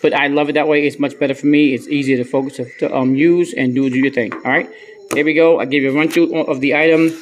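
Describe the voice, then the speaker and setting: A man's voice: on the high side, fairly even intonation, quick pace, a little hiss or hum. A man hosting a casual gadget review vlog, speaking in slangy phrases and often repeating his words. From United States. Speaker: gadget reviewer and video host.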